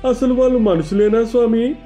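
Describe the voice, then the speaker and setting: A man's voice: extremely high pitch, fairly quick, warm tone, faint music behind. A man voicing an anxious, respectful village devotee in a cartoon moral story, earnest and deferential. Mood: alarmed.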